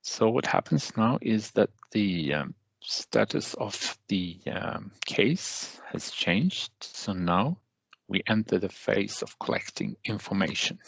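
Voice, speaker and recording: A man narrating a step-by-step software tutorial as a trainer, with halting, deliberate phrasing and hesitant pauses. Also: lowish voice, big pitch swings, gravelly, husky, dry recording, poor audio.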